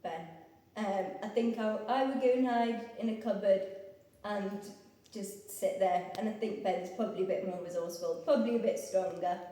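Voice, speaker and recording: A woman's voice: medium pitch, fairly even intonation, normal speed, mellow. A young woman from England answering interview questions about her partner in a chatty way, with frequent ums. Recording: echoey room, mic far off.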